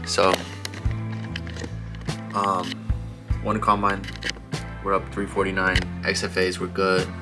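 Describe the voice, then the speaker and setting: Chill young guy vlogging about trading, lowish voice, music playing under him, keeps saying bro. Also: monotone, slow, pauses often. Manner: laid-back, repetitive, says "bro" and "you know". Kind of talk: casual vlog talking to camera.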